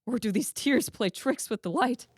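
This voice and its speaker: A woman's voice: a little high, animated, normal speed, background noise, natural voice. A woman playing a dying, vengeful male scientist in a radio play, declaiming with solemn, melodramatic anguish. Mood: weary resolve. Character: dying, vengeful male scientist obsessed with hunting his creation. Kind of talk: theatrical radio play acting.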